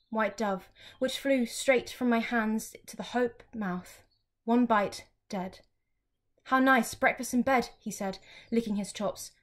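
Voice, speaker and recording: High-voiced young woman reciting poetry. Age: young adult. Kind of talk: dramatic poetry reading. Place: small furnished room at home, indoors.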